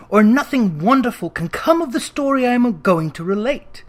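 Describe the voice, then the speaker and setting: A narrator reading a classic literary story aloud in a dramatic, emphatic way, with deliberate phrasing. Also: mid-range voice. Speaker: audiobook reader.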